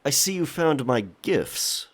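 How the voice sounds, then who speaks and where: An actor playing a gruff, teasing, stubborn pirate in an audio drama. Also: male voice, on the high side, lively intonation, slow pace. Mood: teasing, wry.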